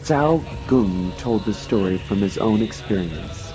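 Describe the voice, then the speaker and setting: A man's voice: on the low side, animated, a little slow, over music, rough recording. A man reading scripted audiobook narration in a formal, expository manner.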